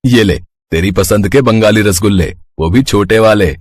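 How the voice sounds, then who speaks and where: A man playing a conciliatory family man, a caring brother and husband, in an animated Hindi family story, with a husky voice. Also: lowish voice, normal speed, no room sound, studio quality. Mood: friendly.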